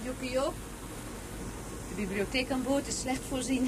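A woman's voice: sits high, measured pace. An actress playing a complaining woman aboard a spaceship in radio drama dialogue. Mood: mildly annoyed. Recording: noisy old radio play recording.

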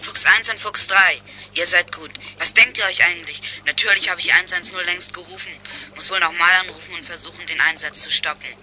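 A man's voice: high voice, fairly even intonation, a little fast, bright, forceful tone, a little hiss or hum, low-quality recording. An actor playing a sardonic boy detective making walkie-talkie calls in a German youth radio play. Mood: exasperated.

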